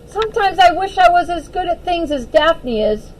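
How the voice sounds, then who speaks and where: A sweet and earnest young storybook girl, played by a woman reading aloud, pitched high. She is admiring and plucky, with a very animated lilt. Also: moderate pace, a little hiss or hum, rough recording. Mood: admiring, wistful.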